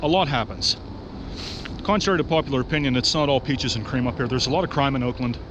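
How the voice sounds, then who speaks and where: A man's voice: medium pitch, fast talker, lo-fi sound. A man in his forties with a head cold, walking a city street at night and talking bluntly and profanely into a handheld phone camera. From Pittsburgh, United States. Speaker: vlogger. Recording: street noise behind the voice.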